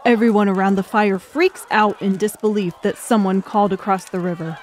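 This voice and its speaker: A woman's voice: mid-range voice, steady tone, fairly quick, a little hiss or hum. A woman narrating a dramatic audiobook story in a scripted style.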